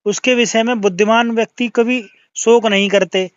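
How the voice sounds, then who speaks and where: A man's voice: high voice, steady tone, moderate pace, clear, resonant tone, dry recording. A man in a religious debate on a phone call, arguing through questions and recited scripture. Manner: argumentative, questioning, quoting scripture from memory. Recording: dull lo-fi call audio.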